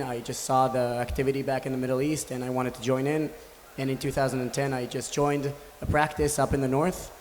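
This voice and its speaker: A young man from Israel who leads a sports association and coaches, speaking earnestly with hesitant fillers. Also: medium pitch. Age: young adult. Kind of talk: panel discussion. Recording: reverberant hall with faint noise.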